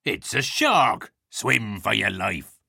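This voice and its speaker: A man's voice: lowish voice, very animated, slow pace, gravelly, bright, forceful tone, breathy edge, dry recording, clean studio sound, exaggerated voice. A man playing a growly, hearty pirate captain in a children's storybook, with a stage West Country pirate accent and a theatrical, salty manner. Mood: alarmed. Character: gruff hearty old-salt pirate captain, a scheming grown man. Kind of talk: acted children's storybook dialogue.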